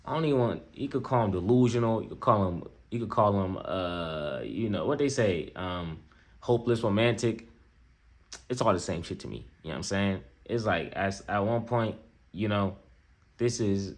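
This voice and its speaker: An American man giving off-the-cuff reaction commentary in a casual, slangy way, often repeating himself and checking in with the listener. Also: lowish voice. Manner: slangy, repetitive, conversational asides to viewer. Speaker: reaction video host.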